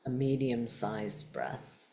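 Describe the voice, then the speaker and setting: A woman leading a guided meditation in a calm, unhurried, soft-spoken way with long pauses. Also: very deep voice, vocal fry, slight background noise.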